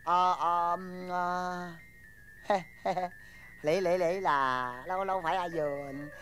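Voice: mid-range voice, steady tone, takes it slowly, fairly bright tone, faint music behind. Stammering, henpecked Chinese-accented husband. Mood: evasive. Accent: Chinese-accented Southern Vietnamese. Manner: stammering, repeating words, placating. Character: meek, evasive, wheedling Chinese-Vietnamese henpecked husband. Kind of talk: comic stage sketch acting.

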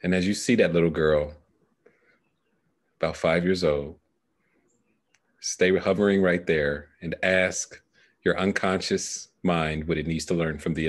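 A man in his thirties coaching a client over a headset, giving measured guided instructions. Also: low voice. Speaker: coach guiding a client through a meditation process. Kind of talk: guided meditation and coaching conversation. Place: small indoor room, video call. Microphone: headset microphone.

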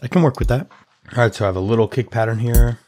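A young man with a slightly raspy voice, explaining music production in a relaxed, chatty way from a small room at home. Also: medium pitch, fast, slight background noise. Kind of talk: casual tutorial walkthrough.

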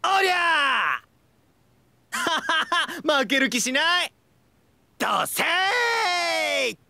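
A man's voice: very high-pitched, very animated, gravelly, no echo, full character voice. A man voicing a hyperactive, goofy, cheerful young man in an anime mobile game, with exaggerated delivery and abrupt bursts. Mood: manic, excited. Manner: manic, shouting, drawn-out yells, abrupt bursts.

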